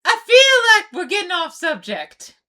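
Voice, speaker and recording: A woman's voice: extremely high pitch, huge pitch swings, measured pace, bright, forceful tone, heavily acted. A woman voicing a melodramatic, overprotective young female pony in a cartoon comic dub, with an overwrought, wailing delivery. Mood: anxious.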